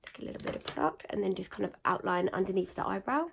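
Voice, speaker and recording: A young woman with a croaky, slightly nasal voice after being ill, chatting casually through a makeup tutorial with lots of fillers. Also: medium pitch, lively intonation, fast talker, noisy background. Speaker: beauty vlogger.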